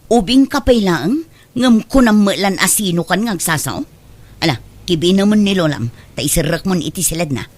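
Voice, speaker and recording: A woman's voice: on the low side, animated, normal speed, darkish tone. A woman playing a tired, impatient working mother who scolds and complains in a radio drama. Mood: exasperated, scolding.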